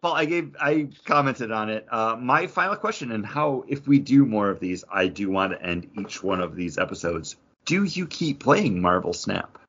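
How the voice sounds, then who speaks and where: Low-voiced, enthusiastic man hosting casual chat. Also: animated, moderate pace, hardly any pauses, a bit bright, slightly rough, dull audio. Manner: enthusiastic, rambling. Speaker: podcast host. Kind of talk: casual chat about games.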